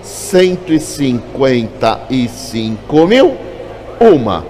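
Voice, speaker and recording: A man with a Brazilian Portuguese accent working as an auctioneer, calling out bids for vehicles in a fast, repetitive chant. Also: medium pitch, sing-song, a little mellow, a little hiss or hum.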